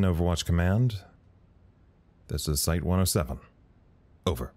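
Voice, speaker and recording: An actor playing a weary, self-deprecating communications officer in an audio drama, talking in a rambling, wry way. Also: male voice, low voice, a little mellow. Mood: weary, dry. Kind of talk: audio drama recorded log monologue.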